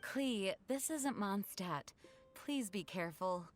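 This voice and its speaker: Composed young lady knight commander, anime game, formal and earnest, medium pitch, bit of music behind. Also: animated, slow. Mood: serious.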